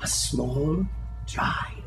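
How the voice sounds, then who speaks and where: A man giving an expressive, lyrical and unhurried reading of a literary story aloud to listeners. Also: whispered. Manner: dramatic, lyrical, unhurried delivery. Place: indoor reading in a bookshop. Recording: roomy echo, faint music behind.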